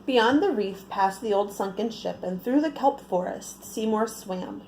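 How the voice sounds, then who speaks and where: Casting: female children's storyteller, picture-book read-aloud, highly melodic delivery, mellow tone, faint noise behind. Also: unhurried, rough recording. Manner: expressive, asks the listeners questions.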